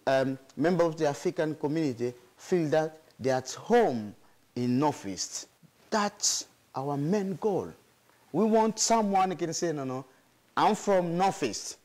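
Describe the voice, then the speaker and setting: A man who works in community advice, interviewed in an office, speaking in an animated and emphatic way. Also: a little high. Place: indoor office.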